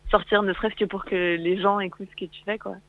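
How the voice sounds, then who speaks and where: A woman's voice: medium pitch, little pitch movement, fast. Young woman on tinny phone line. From Paris, France. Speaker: singer, interview guest. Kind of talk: casual interview chat.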